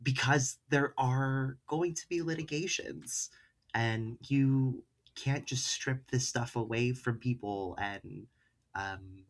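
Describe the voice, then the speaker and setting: A man in casual political discussion on a podcast, informal and wry, pausing often on fillers. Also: mid-range voice.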